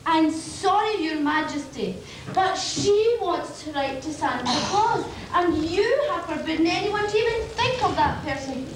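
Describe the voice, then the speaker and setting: A woman's voice: very high voice, animated, a little slow. A woman playing an anxious, deferential servant girl in an amateur community pantomime. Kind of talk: amateur pantomime stage acting. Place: on stage in a high school hall. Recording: distant, echoey noisy hall.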